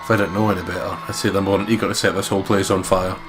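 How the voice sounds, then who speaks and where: A man reading a visual novel aloud dramatically as a let's play host, swearing and breaking into excitable joking commentary, with game music behind him. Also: sits low, very fast. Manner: excitable, sweary, jokey ad-libs.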